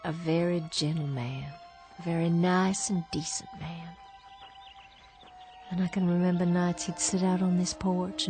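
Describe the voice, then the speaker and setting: Woman acting lonely war widow, lowish voice, quiet and grieving, radio drama. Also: natural voice. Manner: quiet, grieving, turns hushed and vengeful. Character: lonely, bitter war widow on a ruined farm.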